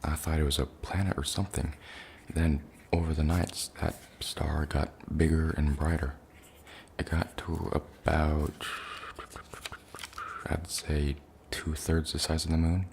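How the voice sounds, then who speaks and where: Guy acting a shaken alien invasion survivor, super low gravelly voice, soft halting asmr delivery, some noise behind. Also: flat delivery, full character voice. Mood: reflective, uneasy. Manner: hesitant, halting, plainly worded recollection. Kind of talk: soft-spoken ASMR roleplay testimony.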